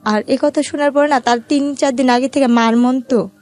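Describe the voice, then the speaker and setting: Higher-voiced Bangladeshi woman telling her story. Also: steady tone, moderate pace, darkish tone, soft background music. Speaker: interview guest telling her life story. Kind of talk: personal storytelling, conversational.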